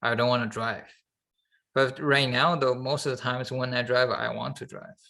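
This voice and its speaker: A man co-hosting a podcast in casual, unscripted chat, halting as he thinks aloud. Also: mid-range voice, fairly even intonation, gravelly, pushed and bright. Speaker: podcast co-host.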